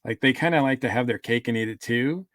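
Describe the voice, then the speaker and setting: A man co-hosting a podcast, talking through a review casually in a measured, halting way. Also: mid-range voice, a bit bright, no echo, studio quality. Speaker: podcast co-host.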